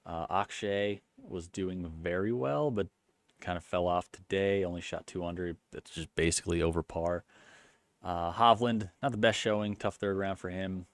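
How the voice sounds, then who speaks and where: A man with a deep, low-set voice talks casually about a golf tournament for his own channel. His delivery stays flat and low-energy, rambling with fillers and false starts. The recording is close and dry, with no room sound. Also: no echo. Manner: rambling, many fillers and restarts. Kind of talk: casual commentary recap.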